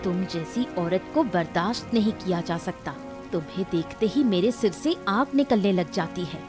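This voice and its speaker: A woman's voice: lowish voice, animated, normal speed, over music, lightly acted. A woman voicing a cruel, quarrelsome young daughter-in-law in a cartoon melodrama, taunting and threatening harshly. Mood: scornful, angry. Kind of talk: Hindi cartoon family melodrama.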